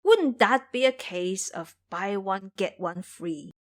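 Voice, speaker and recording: Woman playing male tiger demon henchman, menacing, boastful, mocking, animated, audio drama. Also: mid-range voice, slow pace. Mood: smug, menacing.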